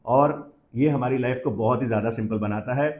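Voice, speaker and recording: A man's voice: mid-range voice, a little fast, darkish tone. A man with an Indian accent giving casual, improvised unboxing commentary as host, switching freely between two languages mid-sentence. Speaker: host of a product unboxing channel.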